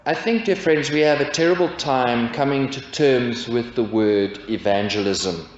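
A man in his forties preaching a sermon from a pulpit, asking his congregation rhetorical questions in a measured way. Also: medium pitch. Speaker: pastor. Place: church, indoors at a pulpit. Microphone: pulpit stand mic. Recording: echoey church, dull lo-fi sound.

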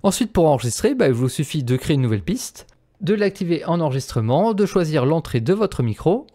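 A man's voice: fairly high pitch, big pitch swings, brisk, dark tone. A man presenting an instructional screencast as a voice-over. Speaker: tutorial presenter.

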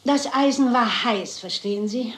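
An actress playing a shrewd, composed elderly lady detective in a German crime radio play, speaking in a measured, polite and resolute way. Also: a little high, roomy sound, lightly acted, poor audio. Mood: composed, determined. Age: older.

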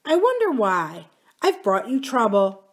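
A woman voicing a sweetly pleading, manipulative young woman in an audiobook, with soft, drawn-out phrases. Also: on the high side, huge pitch swings, pitched above the performer's own voice. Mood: sweet gratitude. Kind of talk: audiobook character dialogue.

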